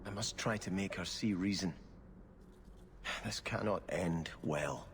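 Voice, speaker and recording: Game casting: conflicted pious prince, low voice, solemn formal delivery, faint ambience behind. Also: male voice, slow pace, slight background noise. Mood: worried. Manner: solemn, earnest, formal phrasing. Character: earnest, pious, conflicted young exiled prince. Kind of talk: dramatic video game dialogue.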